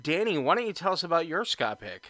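A male podcast host with a fairly high, very bright voice. He keeps things relaxed and upbeat, moving the chat along with quick questions and short affirmations. The sound is close and dry. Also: no room sound. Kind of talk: casual interview chat.

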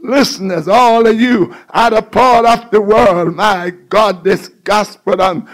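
High-pitched man preaching fervently, unhurried. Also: fairly even intonation. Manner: exhorting, repeats the reader's phrases emphatically. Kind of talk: fervent call-and-response sermon.

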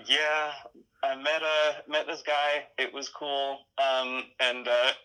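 A man chatting casually as an interview guest, halting often as he thinks aloud. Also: mid-range voice, flat delivery, pushed and bright, clear, resonant tone, rough recording. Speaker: interview guest, audio drama creator.

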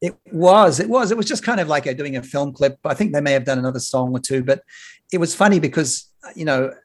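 A man in his fifties with an Australian accent, a broadcaster telling stories as a podcast guest in a relaxed way, with many fillers and restarts. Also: fairly high pitch, a little fast. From Sydney, Australia. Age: 50s. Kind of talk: casual interview chat. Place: home near a rail line.

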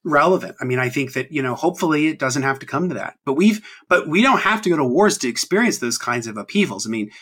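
A man's voice: a little high, lively intonation, fast, hardly any pauses, a bit bright, husky. A man in his thirties hosting a podcast, talking casually and rambling with many ums and restarts. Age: 30s. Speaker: podcast host. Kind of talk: casual chat.

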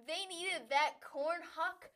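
A woman's voice: extremely high pitch, takes it slowly, fairly bright tone. A young woman from Wisconsin co-hosting a podcast, talking bluntly and profanely in casual chat, laughing often. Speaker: podcast co-host.

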